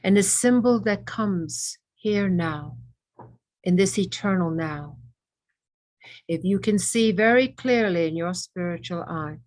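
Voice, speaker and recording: A woman leading a guided meditation with ASMR elements, speaking reverently in short, measured phrases with pauses between them. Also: on the low side, darkish tone.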